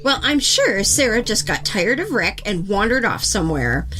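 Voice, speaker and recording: A woman's voice: medium pitch, sing-song, normal speed, sharp tone, faint music behind, poor audio. A woman playing a skeptical news anchor who co-hosts a satirical local broadcast with dry, sarcastic delivery. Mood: wry. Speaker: co-host. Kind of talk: scripted satirical newscast.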